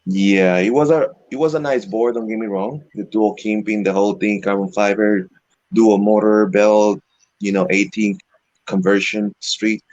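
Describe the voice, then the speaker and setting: Low-voiced Venezuelan-raised man, halting delivery. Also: fairly even intonation, a little mellow, dry recording, dull audio. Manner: halting, frequent "you know" fillers. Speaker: interview guest, electric skateboard rider. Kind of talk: casual video call interview.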